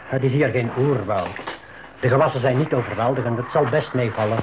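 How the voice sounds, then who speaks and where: A man acting as a calm, experienced safari guide who instructs and reassures his group in a radio drama. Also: medium pitch, brisk, noisy background, lightly acted. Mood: calm, instructive.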